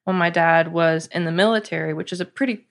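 A woman guest talking casually in an interview, chatty and quick to laugh, with plenty of fillers. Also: on the low side, fairly even intonation, creaky. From United States, partly raised in South Korea. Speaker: podcast guest, cookbook coauthor.

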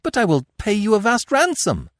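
A man's voice: on the high side, sing-song, moderate pace, darkish tone, no echo, studio quality, voice pushed up. A man voicing a proud young captive queen in an audiobook adventure, imperious yet pleading and protesting. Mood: bewildered, desperate.

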